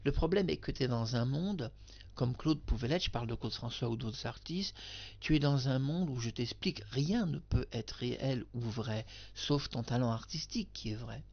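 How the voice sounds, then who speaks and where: Male video commentator, gravelly, circles back and insists, muffled home recording. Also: medium pitch, moderate pace. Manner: emphatic, addresses the singer directly, repeats himself. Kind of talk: rambling spoken commentary to camera.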